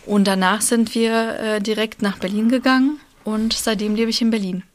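A woman's voice: on the high side, fairly even intonation, normal speed. A young woman from Russia with Russian as her first language, chatting as an interview guest in a hesitant way with many fillers. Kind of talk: casual interview chat.

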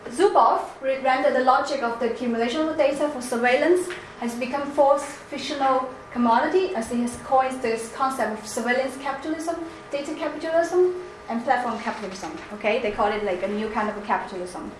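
A woman with a Chinese accent giving a seminar presentation, halting with many restarts and fillers. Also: sits high. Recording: echoey room, faint background noise.

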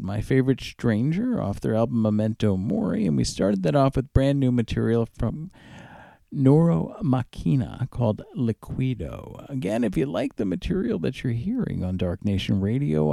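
Man, radio DJ, conversational and unscripted, creaky voice, mellow tone, dry recording. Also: mid-range voice, no room sound. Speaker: radio DJ and show host. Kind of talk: radio back-announcing and listener information.

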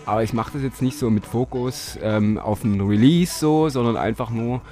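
A man's voice: medium pitch, normal speed, mellow, soft background music. A man from Dresden, talking casually in an interview at home, hesitant with many fillers and frequent laughs. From Dresden, Germany. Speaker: interview guest, modular synth hobbyist. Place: his living room at home.

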